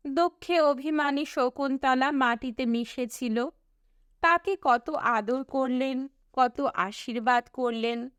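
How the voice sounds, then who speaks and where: A woman narrates a storybook with expressive, measured phrasing. Her voice is fairly high and very smooth, and she takes her time over every line. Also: no echo, studio quality. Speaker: audiobook reader.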